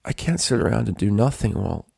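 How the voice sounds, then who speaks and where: A man voicing a troubled female unicorn pony in a story reading, subdued and halting, words trailing off. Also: lowish voice, big pitch swings, gravelly, darkish tone, husky, no echo, studio quality, heavily acted. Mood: weary, upset. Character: weary distraught young female unicorn pony.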